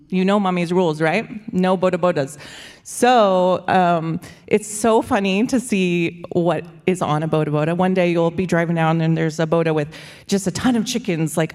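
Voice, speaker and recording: A young woman with a low voice talks casually to a church audience, wandering from point to point with plenty of fillers. Her words carry a long reverb from the hall she speaks in. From United States. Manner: chatty, rambling, with frequent fillers and likes. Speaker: missionary speaker. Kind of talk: casual church presentation with slides.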